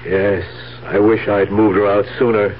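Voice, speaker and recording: An actor playing a greedy, calculating nephew who feigns grief in a 1970s radio drama. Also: male voice, on the low side, little pitch movement, unhurried, close to an everyday voice. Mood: feigned grief. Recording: noisy, muffled 1970s radio recording.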